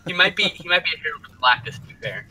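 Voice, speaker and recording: A man's voice: on the high side, lively intonation, racing, sharp tone. A man co-hosting a casual geek chat stream, riffing loosely and swearing casually.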